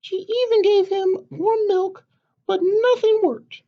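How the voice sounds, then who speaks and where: A woman voicing an eager, excitable little girl chicken in a storybook read-aloud, squeaky and pleading. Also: slow, dull audio. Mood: storytelling. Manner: squeaky, exclaiming, childlike.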